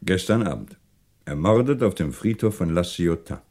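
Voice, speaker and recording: Low-voiced, probing police commissioner. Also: male voice, animated, a little mellow. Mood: grave, dry. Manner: measured, insistent, politely dry. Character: calm, probing, dryly courteous police commissioner. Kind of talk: 1960s German radio play acting.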